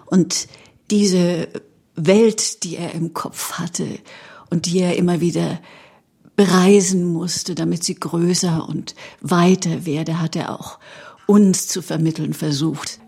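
Slow, husky woman with a creaky voice. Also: on the low side, steady tone, dark tone. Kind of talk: reflective spoken reminiscence.

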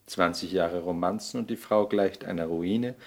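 Witty seducer lord, deep voice, slightly raspy, urbane, drily ironic, 1960s radio play. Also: male voice, moderate pace, a little mellow. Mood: urbane, amused. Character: suave, cynical English lord, witty seducer.

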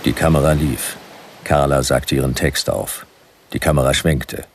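A very deep, gravelly detective narrates a noir radio play. He is laconic and deadpan, heard a little distant from the microphone with faint noise behind him. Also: moderate pace. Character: laconic, world-weary male private detective narrator.

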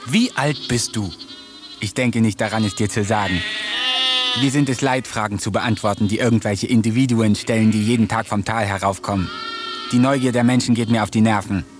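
A man's voice: on the low side, steady tone, fairly quick, natural voice. An actor playing a grumpy, weary male sheep in a radio play, curt and pedantic. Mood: dismissive. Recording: loud music under voice. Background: loud music.

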